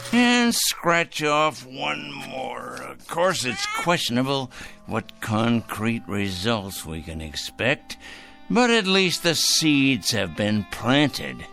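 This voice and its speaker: A man's voice: mid-range voice, vocal fry, full character voice. An actor in a radio drama playing a fussy, self-righteous male fanatic who speaks in a prim, formal, emphatic way. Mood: fussy, cosy, then smug, weary zeal.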